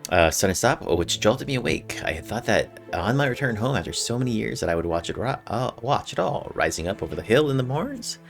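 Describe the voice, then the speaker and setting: A man's voice: fairly low pitch, big pitch swings, a little fast, vocal fry, hardly any pauses. A man hosting a gameplay video, casually commenting and reading game text aloud in an informal way. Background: faint game music.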